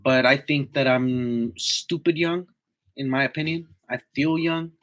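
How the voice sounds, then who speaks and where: A man's voice: mid-range voice, fairly even intonation, unhurried, fairly bright tone. A man in his twenties chatting casually to live viewers in a slangy, motivational way, repeating himself for emphasis. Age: 20s. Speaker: livestream host, tree climber.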